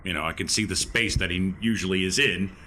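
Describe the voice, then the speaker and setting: A man's voice: sits low, a little fast, bright, forceful tone. A man chatting casually as a player in a tabletop game. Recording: clear background noise.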